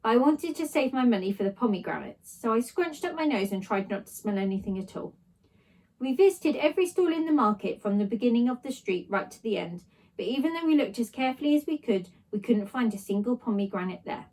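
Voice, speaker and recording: A woman's voice: fairly high pitch, lively intonation, brisk, darkish tone, poor audio. A woman reading a children's story aloud expressively, narrating in a child's first person. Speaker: story reader, teacher.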